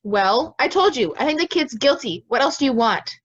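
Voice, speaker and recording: A young woman acting a stubborn, hot-tempered juror in an audio drama. The voice is high and very animated, blunt and combative, on a slightly dull recording. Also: moderate pace, breathy edge. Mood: defiant. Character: stubborn, hot-tempered juror dead set on a guilty verdict.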